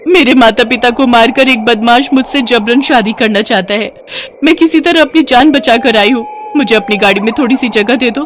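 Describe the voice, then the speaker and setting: A woman's voice: on the high side, moderate pace, a bit bright, a little hiss or hum, close to an everyday voice. A woman voicing a coy, deceitful young witch bride in a dramatised story, plaintive and coaxing. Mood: tearful pleading. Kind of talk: dramatised Hindi moral story.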